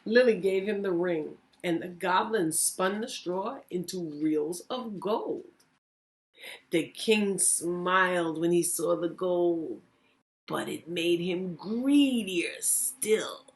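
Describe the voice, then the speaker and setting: A woman hosting storytime, reading a children's story aloud with expressive, deliberate phrasing. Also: fairly low pitch. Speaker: storytime host, reading teacher.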